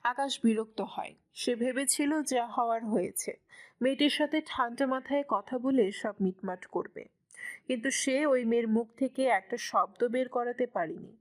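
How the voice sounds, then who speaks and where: Woman storyteller reading a scripted romance, fairly high voice. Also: moderate pace. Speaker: storyteller, narrator.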